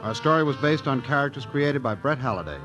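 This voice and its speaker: A male director-announcer reads scripted credits and links in 1940s radio style. His voice is medium in pitch. Music plays clearly behind him on the period recording. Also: animated, brisk, highs cut off.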